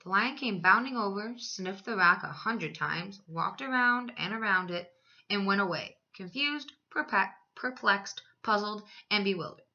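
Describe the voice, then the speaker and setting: Female teacher for storybook read-aloud: bright, expressive phrasing, medium pitch, muffled home-recorded sound. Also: unhurried. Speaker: schoolteacher reading aloud.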